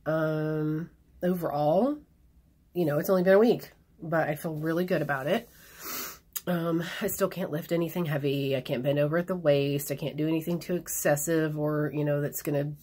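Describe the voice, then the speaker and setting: A woman chatting in a casual video diary, a little hoarse, frank and rambling with plenty of fillers. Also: low-pitched, a little mellow. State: hoarse after surgery. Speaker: vlogger.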